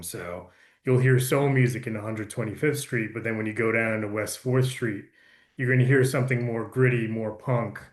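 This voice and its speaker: A man, an audiobook producer, casually explaining his work in an interview. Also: on the low side. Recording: echoey, roomy sound.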